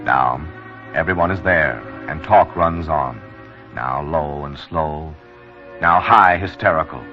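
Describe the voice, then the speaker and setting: Deep, solemn radio storyteller. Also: male voice. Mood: solemn, dramatic. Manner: measured, solemn, dramatic. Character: grave, poetic male storyteller of a small town's ordeal. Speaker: narrator. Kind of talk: 1940s radio drama narration. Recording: muffled old radio recording, faint music.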